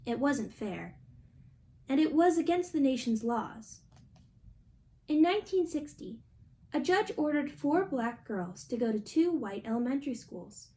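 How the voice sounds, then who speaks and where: A woman's voice: high-pitched, big pitch swings, unhurried, mellow. A young adult woman reading a picture book aloud as a storybook reader. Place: small indoor room at home.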